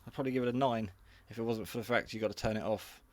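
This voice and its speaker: A man with a fairly low voice talks through a casual product review. He is unscripted and rambles a little, staying matter-of-fact and modest about his own know-how. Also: fairly even intonation, racing, a little mellow, no echo. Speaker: hobby radio reviewer.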